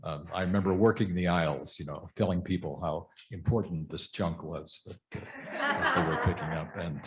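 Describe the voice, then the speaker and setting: A man leading the sharing of joys and sorrows in a church service, talking gently and chuckling now and then. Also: sits low, sing-song, moderate pace. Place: church sanctuary.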